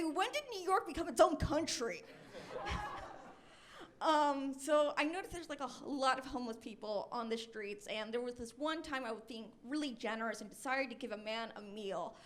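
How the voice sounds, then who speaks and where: High-voiced young American woman doing stand-up. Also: a bit bright. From Los Angeles, United States. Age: 20s. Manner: self-deprecating, chatty storytelling. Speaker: stand-up comedian. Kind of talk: live stand-up comedy routine. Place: comedy club stage with live audience. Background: audience laughter.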